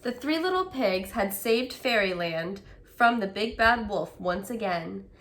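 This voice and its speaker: A woman telling a story to children as a storyteller and teacher, in an expressive storybook style. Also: mid-range voice, unhurried, slight background noise.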